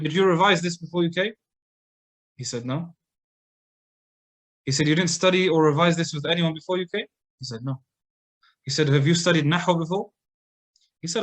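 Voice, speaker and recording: A man's voice: on the high side, fairly bright tone. A man giving a mosque lecture, telling a scholar's story conversationally with frequent fillers and restarts. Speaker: Islamic lecturer. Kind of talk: casual lecture.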